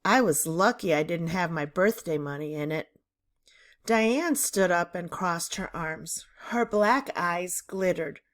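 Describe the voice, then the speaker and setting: A woman reading a children's book aloud in a deliberate, animated storytelling manner. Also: fairly low pitch. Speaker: read-aloud narrator.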